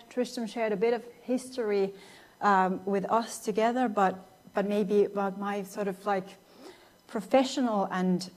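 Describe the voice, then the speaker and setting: A woman in her thirties from Finland delivers a lecture in her second language, her pitch sitting in an ordinary middle range. She talks in a thoughtful, searching way, restarting phrases and leaning on fillers as she finds her words. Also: darkish tone. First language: Finnish. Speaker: guest lecturer. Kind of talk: academic lecture.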